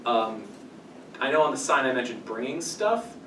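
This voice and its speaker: An echoey, distant recording of a man presenting an informal workshop in a small meeting room. He is in his thirties and speaks at a middling pitch in a conversational, explanatory style. Noticeable noise sits behind his voice. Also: very animated, moderate pace. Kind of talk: informal introductory lecture. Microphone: distant camera microphone. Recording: echoey room from afar, noisy.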